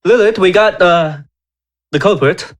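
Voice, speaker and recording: A man's voice: high voice, a little slow. A man playing a tabletop game, improvising and chatting casually. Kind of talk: casual improvised roleplay chat.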